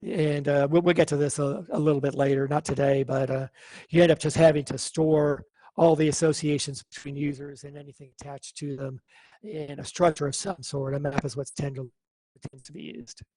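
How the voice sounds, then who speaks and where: A man teaching a live online coding class, thinking aloud and explaining in a relaxed way. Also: on the high side, moderate pace, no room sound, rough recording.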